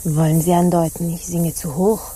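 An actress playing a touchy, prim female pirate in a radio drama, formal, halting and indignant. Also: on the low side, little pitch movement, mellow, husky. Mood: guarded, offended. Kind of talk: German radio drama acting.

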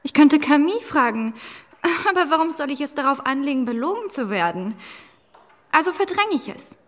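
A young woman of old Paris in a radio drama, high-voiced and hopelessly in love. She confides candidly, often hushed, with a stubborn defiance underneath. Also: very animated, normal speed. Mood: wry, guarded. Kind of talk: German radio drama acting. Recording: clean studio radio drama.

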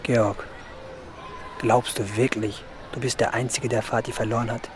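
An actor playing a firm, reproachful teenage schoolboy in a radio drama, blunt and confronting, like a disappointed loyal friend. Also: male voice, medium pitch, little pitch movement, measured pace, very noisy, lightly acted. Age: young adult.

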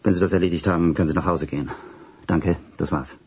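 A man's voice: sits low, steady tone, quick pace, a little mellow, slight background noise, lightly acted. An actor playing a flustered, secretive boss in a radio drama, officious and clipped, hesitating and repeating himself as he gives orders. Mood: brisk, secretive. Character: flustered, secretive male boss, a commanding doctor dictating orders. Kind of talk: radio drama acting, phone calls and dictation.

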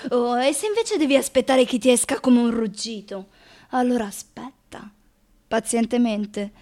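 A woman playing a sardonic, restless male writer in a theatrical radio reading, phrasing deliberately. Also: a little high, a little mellow, lightly acted. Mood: sardonic, defiant. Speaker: stage actress performing a literary monologue.

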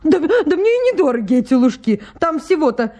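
High-voiced, quarrelsome young lady. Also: big pitch swings, fairly quick, mellow. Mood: stubborn, offended. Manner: emphatic, argumentative, theatrical. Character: headstrong, quarrelsome young landowner's daughter in farce. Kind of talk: Russian radio comedy acting.

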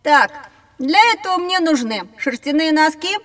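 A high-voiced young boy in a children's audio drama. He is curious and sure of himself, eager to explain how things work and quick to ask questions. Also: lively intonation, fairly bright tone, long reverb tail. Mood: confident, eager. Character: curious, confident, know-it-all young boy.

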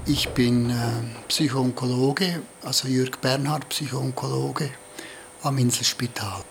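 A man speaking as a medical expert, giving a deliberate, formal, slightly halting explanation. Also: medium pitch, gravelly, background noise. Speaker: psycho-oncologist.

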